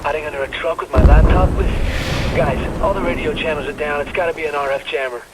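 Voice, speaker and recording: An actor playing a jittery, excitable male tech hacker in a video game, talking in technical terms. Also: a little high, fast, heavy background noise, lightly acted. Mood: rattled. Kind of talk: video game cutscene acting. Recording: music over the dialogue.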